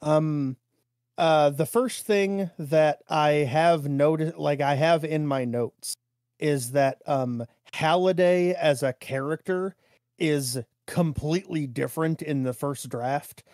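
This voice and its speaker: Higher-voiced man chatting, dry close sound. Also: a little mellow, no echo. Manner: halting, peppered with "like". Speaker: podcast co-host. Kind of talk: casual discussion from notes.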